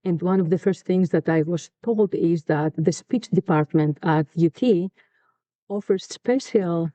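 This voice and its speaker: A middle-aged woman from Greece with a Greek accent, talking earnestly and thoughtfully in a casual podcast chat, often pausing with "um.". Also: on the low side, warm tone, no echo. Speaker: co-host. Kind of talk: casual conversation.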